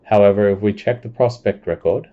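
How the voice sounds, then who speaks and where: A man giving a plain, step-by-step screencast walkthrough of software. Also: on the low side. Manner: plain step-by-step explaining, unhurried. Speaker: software demonstrator. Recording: dull lo-fi recording.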